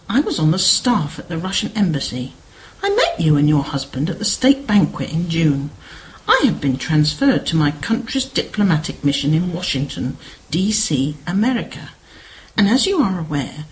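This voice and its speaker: A woman playing a chatty, inquisitive village woman in an amateur radio play. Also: fairly low pitch. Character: chatty, inquisitive village sister seeking company in London. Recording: slightly noisy amateur radio recording.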